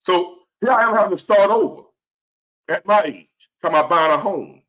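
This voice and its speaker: A man in his fifties giving earnest, preachy motivational talk to his viewers, repeating key phrases and swinging between loud and hushed. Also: high voice, brisk. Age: 50s. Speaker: motivational vlogger.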